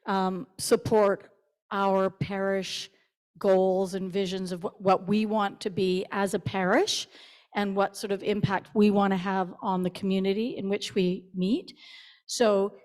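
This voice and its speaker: A woman speaking from the floor at a church meeting, earnest and halting with many fillers, into a handheld microphone. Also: mid-range voice, level tone, darkish tone. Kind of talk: public comment at a parish meeting.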